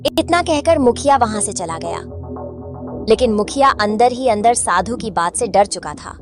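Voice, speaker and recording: Lady storyteller for a kids moral tale, fairly high voice, music in the background. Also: lively intonation, moderate pace. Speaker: narrator. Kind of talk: scripted moral-story narration for children.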